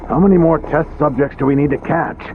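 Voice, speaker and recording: A man's voice: on the high side, brisk, close to an everyday voice. An actor playing an irritable, callous henchman in a video game, grumbling and curt. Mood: annoyed, menacing. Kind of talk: English video game voice acting. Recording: dull muffled sound, clear background noise.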